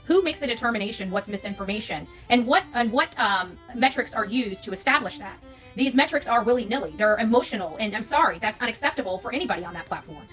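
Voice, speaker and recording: A woman's voice: a little high, animated, quick pace, a bit bright, faint music behind. A woman with an American accent giving an unscripted, opinionated monologue as an online creator, emphatic and repeating phrases for stress. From United States.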